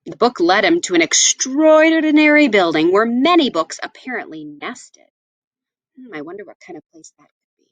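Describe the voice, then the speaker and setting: A woman reading a picture book aloud to children, with expressive, deliberate phrasing. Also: on the high side, no echo, rough recording. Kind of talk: read-aloud storytelling for children.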